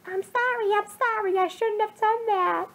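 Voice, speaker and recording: A woman's voice: steady tone, normal speed, a bit bright, slight background noise. A woman voicing a distraught young male ninja who cries and gasps between words. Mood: apologetic. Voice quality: high, tearful, gasping.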